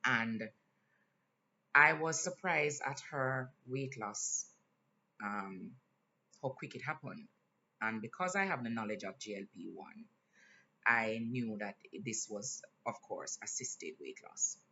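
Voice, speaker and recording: A man with a Jamaican accent talking in a casual vlog, earnest and candid, pausing often with fillers. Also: mid-range voice, expressive, pushed and bright. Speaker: vlogger. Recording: slightly dull lo-fi sound, faint hiss.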